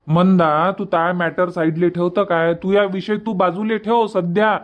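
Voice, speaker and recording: A man voicing a practical, impatient village husband in a comic cartoon, brisk and persuasive, with a Varhadi Marathi accent. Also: high-pitched, steady tone, dull audio. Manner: brisk, persuasive, matter-of-fact.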